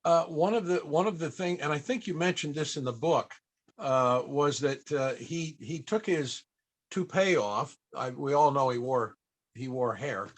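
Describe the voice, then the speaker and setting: A man hosting a panel, asking questions in a casual, halting, conversational way. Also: fairly high pitch. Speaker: panel host and interviewer. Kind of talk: casual interviewing.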